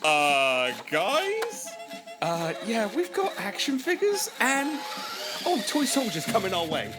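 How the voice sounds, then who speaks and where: A man plays a jittery, wisecracking sidekick in an audio drama. The voice is high and swings widely in pitch, set against loud music. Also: wildly swooping pitch, takes it slowly, heavily acted. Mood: nervous, wry.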